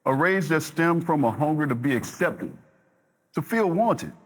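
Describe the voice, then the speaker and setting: A man's voice: fairly high pitch, animated, measured pace. An actor playing an earnest man who speaks emphatically and with passion in a theatrical stage monologue. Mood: impassioned, reflective. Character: impassioned man eulogizing a lonely, misunderstood artist.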